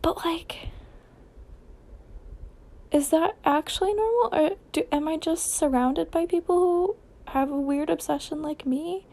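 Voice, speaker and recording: A woman's voice: very high-pitched, unhurried, long pauses, mellow. A young woman with an American accent chatting casually on a solo podcast, rambling informally, questioning and correcting herself as she goes.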